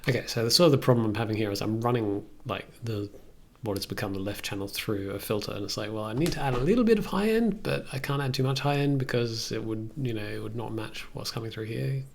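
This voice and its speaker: Very creaky-voiced man thinking aloud. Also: fairly low pitch, fast talker, few pauses, darkish tone. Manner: thinking aloud, self-questioning. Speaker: livestream host. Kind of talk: casual chat while working on music software. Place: small indoor room at a computer.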